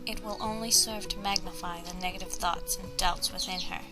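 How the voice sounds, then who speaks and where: A woman voicing a kind grown-up female pony princess in a storybook, a gracious elder-sister mentor giving gentle, solemn advice. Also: mid-range voice, fairly even intonation, brisk, loud music behind the voice, close to an everyday voice. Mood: solemn, cautioning.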